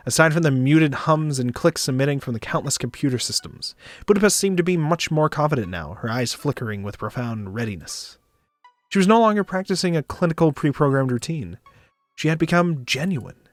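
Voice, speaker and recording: A man's voice: medium pitch, animated, fairly quick, no echo, soft background music. A man narrating a visual novel in the first person on a stream, with casual asides.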